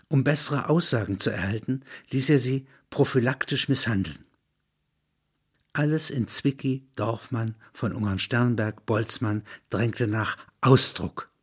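Male narrator, mid-pitched and husky, literary reading with explanatory asides. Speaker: narrator and reader.

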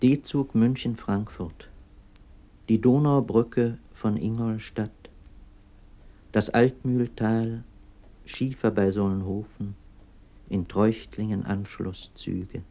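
A man's voice: fairly low pitch, steady tone, takes it slowly, pauses often, mellow. A man giving a scripted recitation of literary poetry. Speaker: reader of poems.

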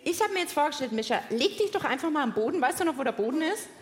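Female co-host, kids' science show. High voice, very lively intonation, giggly, teasing live presenting. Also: rapid-fire. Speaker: co-host of a children's science podcast. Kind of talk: playful live presenting and banter. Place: on stage at a live podcast festival.

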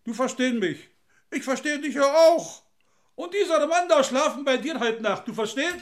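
Very high-pitched, coaxing innkeeper. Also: male voice, animated, normal speed. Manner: repetitive, coaxing, simplified speech to a foreigner. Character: fussy, soothing innkeeper, cajoling and amused. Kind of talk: audio drama dialogue.

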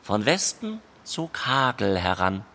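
A man reading scripted literary narration in a measured, deliberate way. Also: on the low side, sing-song, bright, forceful tone. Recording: clear background noise.

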